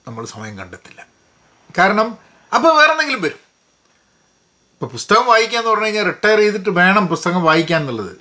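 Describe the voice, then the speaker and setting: A man's voice: high-pitched, pushed and bright. A man with a Malayali accent giving a casual, explanatory book review, mixing in English phrases and repeating words for emphasis.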